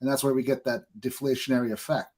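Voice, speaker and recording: Opinionated man hosting a podcast, mid-pitched. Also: steady tone, quick pace, a little mellow. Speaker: podcast host. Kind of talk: conversational interview with opinions.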